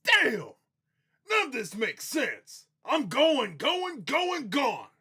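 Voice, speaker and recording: Man acting a brash, raspy, hot-headed tough guy. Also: very high-pitched, wildly swooping pitch, drawn-out words, heavily acted. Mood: exasperated. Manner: brash, shouty, slangy. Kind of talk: dramatic fan dub acting.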